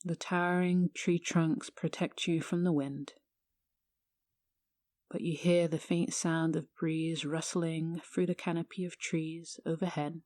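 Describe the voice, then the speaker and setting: A woman guiding a meditation in a hushed, soothing, measured manner with long pauses. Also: sits low, little pitch movement, dry recording, clean studio sound. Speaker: yoga teacher guiding a meditation. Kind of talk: guided meditation narration.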